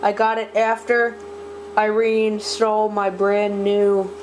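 Casual video host, halting delivery, coughy throat, medium pitch, noisy handheld camera audio indoors. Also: completely flat delivery. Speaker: video host showing a collection. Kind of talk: casual handheld product show-and-tell. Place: indoors at a desk in a home room. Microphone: handheld camera's built-in mic.

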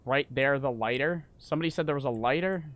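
A young man streaming a horror game, panicking, swearing and yelling in fright while reading notes aloud. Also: mid-range voice, fast, noisy background. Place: small room at home. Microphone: desk mic on stand.

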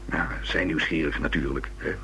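A man's voice: a bass voice, steady tone, normal speed, sharp tone, soft background music. An actor playing a hesitant, rambling young man who is a murder witness in a Dutch radio play. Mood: gossipy, reluctant. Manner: hesitant, rambling, restarts words. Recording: noisy old radio recording with hum.

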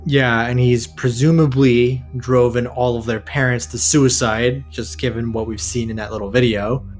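A man's voice: mid-range voice, steady tone, moderate pace. A man co-hosting a casual discussion, explaining things in an informal way. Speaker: co-host of a horror discussion show. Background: music behind the voice.

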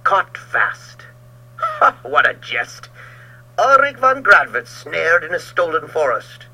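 A man's voice: mid-range voice, sing-song, a little slow, sharp tone, breathy edge, voice pushed up, exaggerated voice, rough recording. A man voicing a snarling, mocking forester. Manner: savage, taunting.

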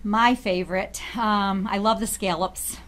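A woman's voice: medium pitch, steady tone, measured pace, a bit bright. A woman who manages a restaurant, proudly describing its food as a talk show guest. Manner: proud, promotional. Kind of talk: casual interview.

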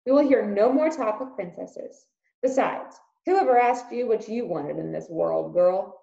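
A scornful, coarse old peasant uncle, as a woman reading aloud puts him on, jeering and scolding in a storybook. The voice is dark and a little gravelly. The recording sounds dull and roomy. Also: medium pitch, animated, unhurried. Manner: mocking, gruff, scolding.

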